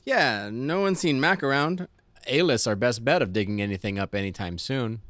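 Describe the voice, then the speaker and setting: A man's voice: mid-range voice, animated, moderate pace, a bit bright, dry recording, lightly acted. A man playing a wisecracking, easygoing city gang member who teases old friends in a casual audio drama. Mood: matter-of-fact. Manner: teasing, casual banter.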